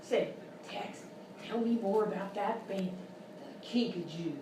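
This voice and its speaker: A woman's voice: mid-range voice, unhurried, lightly acted. A woman playing a folksy, sassy Old West saloon keeper with a Western American accent in live radio-style comedy. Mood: curious. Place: live performance in a hotel venue. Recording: noisy, roomy live stage, distant mic.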